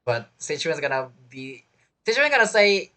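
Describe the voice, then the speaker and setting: A man's voice: fairly high pitch, very animated, moderate pace, sharp tone. A man giving casual, playful reaction commentary on a video game story, chiming in informally.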